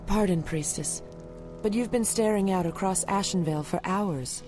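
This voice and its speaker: Casting: woman playing deferential young game elf scout, medium pitch, earnest, music behind. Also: moderate pace, close to an everyday voice. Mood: concerned. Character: respectful young female night elf sentinel. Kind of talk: video game fantasy acting.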